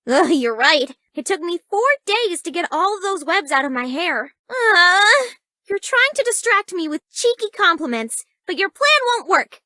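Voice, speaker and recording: A woman's voice: very high-pitched, expressive, normal speed, bright, forceful tone, no echo, studio quality, heavily acted. A young woman voicing a shy, bookish, easily flustered dating-game heroine, sweetly awkward and hesitant. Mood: nostalgic, tender. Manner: hesitant, breathy stammering, girlish and earnest. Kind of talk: video game dating-sim voice acting.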